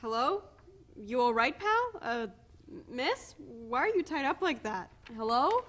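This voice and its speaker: A woman's voice: sits high, sing-song, slow, fairly bright tone, heavily acted. A woman playing a groggy, bewildered man waking up confused in a staged play reading.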